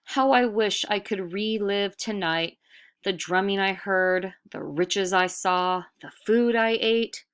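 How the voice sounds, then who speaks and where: Storybook greedy wife and mother, played by a female reader, never satisfied, high-pitched, theatrical and prone to wailing. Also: slow, fairly bright tone, smooth. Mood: wistful. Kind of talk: storybook read-aloud character voice.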